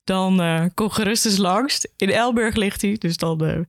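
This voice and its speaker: A woman's voice: medium pitch, unhurried, no echo, clean studio sound. A woman talking informally as an education officer and guest in a podcast conversation.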